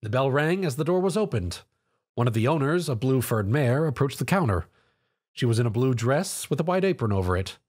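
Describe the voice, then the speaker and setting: Animated male storyteller. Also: mid-range voice, a little fast. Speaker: narrator. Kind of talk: animated scripted story narration.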